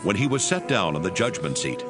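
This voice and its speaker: A man narrating scripture in a steady, formal reading style. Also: deep voice, fairly quick. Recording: narration over music.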